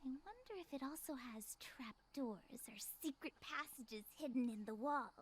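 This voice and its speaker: A woman's voice: high voice, animated, normal speed. A woman voicing an imaginative, adventurous young woman in a horror visual novel, musing and playful. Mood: playful, excited.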